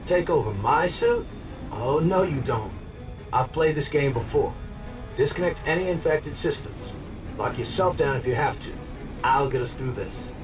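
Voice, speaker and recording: A man's voice: a little high, animated, unhurried. A man playing a determined military colonel in a robotic suit in an action video game, talking urgently in clipped military phrases. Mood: defiant. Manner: clipped military radio talk. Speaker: soldier. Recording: loud music, voice sounds distant.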